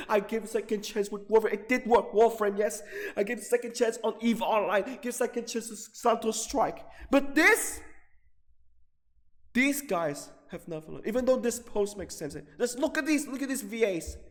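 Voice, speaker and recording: A man delivering an angry, off-the-cuff gaming rant, agitated and profane, repeating himself haltingly with drawn-out emphasis. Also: very high voice, fairly bright tone. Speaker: gaming video creator. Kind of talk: angry off-the-cuff rant about video games. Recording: long reverberant hall-like echo.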